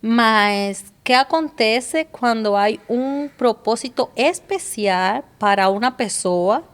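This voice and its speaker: A woman from Cuba with a Cuban Spanish accent talks as a podcast guest. Her voice sits a little high, and she weighs her words with care. Spanish words keep slipping into her Portuguese. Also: takes it slowly, clear, resonant tone. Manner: thoughtful, mixes Spanish into Portuguese. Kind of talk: casual chat.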